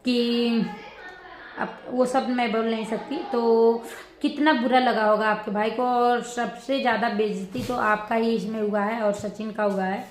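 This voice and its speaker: A woman giving casual reaction commentary to her viewers, rambling and halting as she circles back over her points. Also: a little high. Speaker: vlogger. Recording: roomy echo with background noise.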